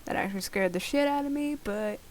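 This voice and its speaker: Casting: young adult woman, mid-pitched, casual Let's Play host who rambles and pokes fun at herself, faint noise on the recording. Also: a little fast, darkish tone. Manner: rambling, self-deprecating, swears casually. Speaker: gaming video host. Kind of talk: casual Let's Play commentary of a survival game. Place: at home, late at night. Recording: noisy home game recording.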